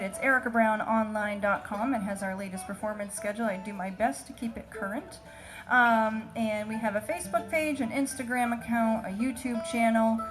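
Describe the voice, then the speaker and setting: A woman's voice: fairly high pitch, a bit bright, poor audio. A woman bandleader chatting casually to her audience between tunes at a live concert. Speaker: bandleader, singer and fiddler. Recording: live stage PA, music and crowd noise. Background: music and audience.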